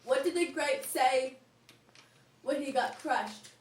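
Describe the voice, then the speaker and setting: A woman's voice: sits high, slow. A young woman trading corny jokes at a puppet show who is playful and giggly and fumbles her punchlines. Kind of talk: casual joke swapping. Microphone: far camera. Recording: roomy home video from a far camera with clear noise.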